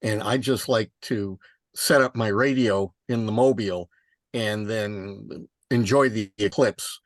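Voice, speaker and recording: Low-voiced man, halting, very dry sound. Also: no echo. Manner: halting, tentative. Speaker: meeting participant. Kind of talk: casual conference call chat.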